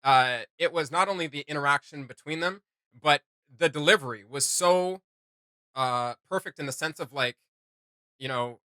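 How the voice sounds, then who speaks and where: A man's voice: a little high, normal speed, sharp tone, clear, resonant tone, no room sound. A man hosting a reaction video, giving casual commentary with emphatic delivery.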